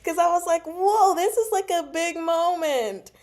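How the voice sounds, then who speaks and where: Woman, slow pace, animated, giggly, casual fan discussion, breaks off her own sentences. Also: very high-pitched. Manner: animated, giggly, self-interrupting. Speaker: host of a book discussion show. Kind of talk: casual fan discussion, reading from notes and comments.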